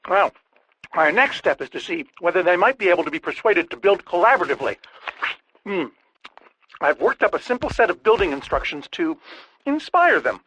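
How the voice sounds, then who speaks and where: A middle-aged man voicing an eccentric, lonely, excitable researcher in a video game, with verbose, pompous, theatrical diction. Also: high voice, a little fast, pauses often, a little hiss or hum, heavily acted, low-quality recording. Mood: giddy. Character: eccentric, lonely, excitable male researcher obsessed with studying creatures. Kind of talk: animated video game voice acting.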